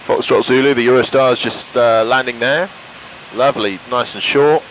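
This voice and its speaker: A man's voice: medium pitch, moderate pace, fairly bright tone, low-quality recording. A man pilot giving casual, self-critical, explanatory flight commentary and short radio calls. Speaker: pilot and vlogger. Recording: engine noise in light aircraft. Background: aircraft engine hum.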